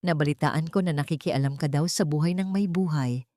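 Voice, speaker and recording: A woman's voice: deep voice, brisk, mellow, no room sound, clean studio sound, close to an everyday voice. A woman voicing a mocking, menacing policeman, a taunting ex-husband, in a dramatic story. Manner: sneering, taunting.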